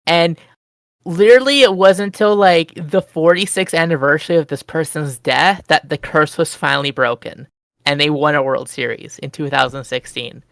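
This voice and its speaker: A woman telling a rambling story on an online call, excitable and jumping up in pitch. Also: normal speed, vocal fry, no echo. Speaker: group chat participant. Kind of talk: casual storytelling chat.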